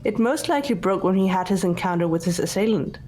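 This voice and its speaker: A woman's voice: mid-range voice, expressive, fast, a little mellow, soft background music, natural voice. A woman voicing a composed, blunt teenage girl detective in an amateur visual novel dub, speaking in a controlled, matter-of-fact way. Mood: pointed.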